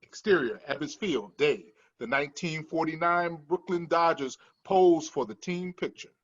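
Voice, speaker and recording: A man's voice: fairly high pitch, moderate pace, dull audio. A man reading screenplay stage directions as scripted narration.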